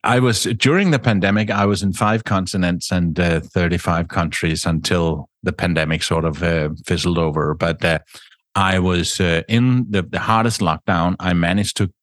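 A man talking casually about travel, halting and often repeating his words. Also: deep voice, fairly bright tone, dry recording, clean studio sound. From Denmark.

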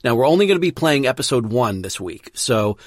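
A man's voice: medium pitch, sing-song, brisk, no room sound, clean studio sound. A man hosting a podcast, introducing the show in an enthusiastic, emphatic way. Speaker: podcast host and presenter. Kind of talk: semi-scripted show introduction.

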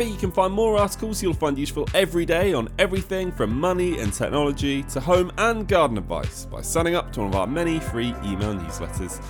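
Lively higher-pitched male podcast host. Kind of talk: scripted podcast sign-off addressed to listeners. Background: music.

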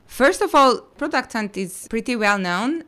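A woman's voice: a little high, lively intonation, measured pace, bright, forceful tone. A woman hosting an interview podcast, reading friendly introductions and asking questions with slight hesitation. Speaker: podcast host.

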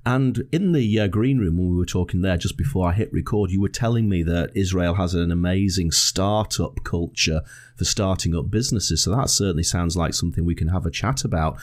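A man's voice: deep voice, brisk, a little mellow. A man hosting a podcast, giving an upbeat scripted intro and asking interview questions. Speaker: podcast host.

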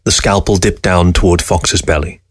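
A man's voice: low voice, steady tone, moderate pace, dry recording, clean studio sound. A man reading a short story aloud as scripted literary narration.

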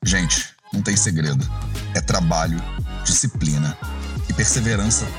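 A man's voice: sits low, moderate pace. A man reading a show promo in a punchy, emphatic way. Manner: punchy, emphatic slogan delivery. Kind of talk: promo voice-over over music. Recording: voice over loud music.

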